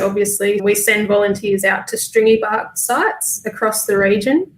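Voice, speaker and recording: A woman's voice: medium pitch, fairly bright tone. A woman with an Australian accent giving informal, explanatory answers in a casual livestream interview. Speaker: volunteer bird count coordinator. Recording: roomy, echoey sound.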